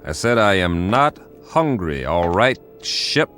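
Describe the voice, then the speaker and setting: A man's voice: sits low, very animated, slow pace, music in the background, full character voice. Sarcastic, volatile male space traveler. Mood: irritated. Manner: sarcastic, exasperated, muttering and snapping. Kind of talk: science fiction radio drama acting.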